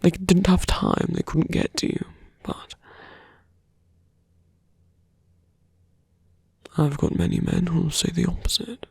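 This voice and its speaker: A performer voicing a suave, menacing male villain in an intimate ASMR roleplay, slow and teasing, with a breathy, gravelly voice that often sinks to a near-whisper. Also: medium pitch, wildly swooping pitch, a little mellow, dry recording, exaggerated voice. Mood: smug, menacing. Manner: slow, deliberate, teasing, condescending.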